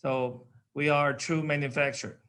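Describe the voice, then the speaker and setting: An older man from Taiwan speaking English with a Taiwanese accent, in simple, halting sentences with fillers, as an interview guest. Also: mid-range voice, little pitch movement, fairly bright tone. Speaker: guest, company founder.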